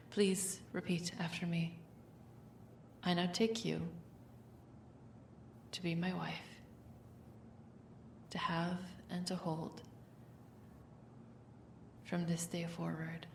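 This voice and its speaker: Royal wedding officiant voiced by a woman, calm ceremonial phrasing, far from the mic with faint noise. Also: lowish voice, gravelly, echoey room. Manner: formal, measured phrases. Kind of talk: audio roleplay drama acting.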